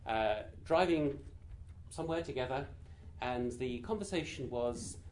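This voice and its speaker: A man with a British accent and a naturally quiet voice, giving an informal talk to a group in a gentle, measured way with frequent small hesitations. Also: mid-range voice, animated, a little hiss or hum. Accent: British English. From Britain. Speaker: guest speaker.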